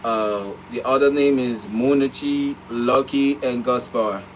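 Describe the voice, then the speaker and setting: A man tells a story in a relaxed, unhurried way, pausing with ums as he goes. His voice sits at a middle pitch for a man. The home recording carries a clear layer of noise behind him. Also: poor audio. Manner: relaxed, hesitant, with ums and uhs. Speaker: vlog co-host. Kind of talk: casual storytelling. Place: at home, seated.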